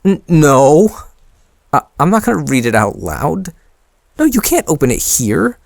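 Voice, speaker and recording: A man's voice: fairly high pitch, big pitch swings, unhurried, vocal fry, dry recording, clean studio sound, full character voice. A man playing a shy, smitten young man in an intimate boyfriend roleplay audio, speaking hesitantly with bashful stammers. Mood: flustered, embarrassed.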